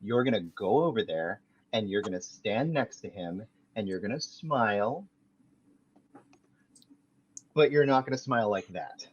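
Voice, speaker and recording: A man's voice: on the low side, animated, unhurried, long pauses, muffled recording, slight background noise. A man reading the part of a laid-back male bartender friend at a podcast table read, with a coaxing, conspiratorial manner.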